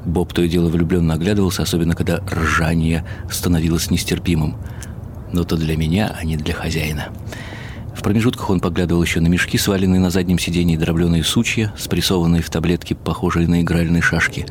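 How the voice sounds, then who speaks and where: An actor playing a world-weary writer who narrates a literary radio drama in a wry, ironic, musing way. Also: male voice, low-pitched, flat delivery, quick pace, slight background noise, close to an everyday voice. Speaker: narrator and lead character.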